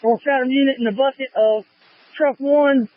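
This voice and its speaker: A man speaks in fireground radio traffic, his voice pitched very high. It comes through a lo-fi handheld radio with a dull, muffled sound. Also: fairly even intonation, moderate pace, no echo. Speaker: firefighter, fireground division officer. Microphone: handheld two-way radio. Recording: muffled lo-fi two-way fire radio.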